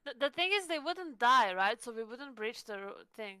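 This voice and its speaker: A woman's voice: a little high, expressive, normal speed, pushed and bright, clean tone, no echo. A woman playing a tabletop roleplaying game, chatting casually and asking lots of questions.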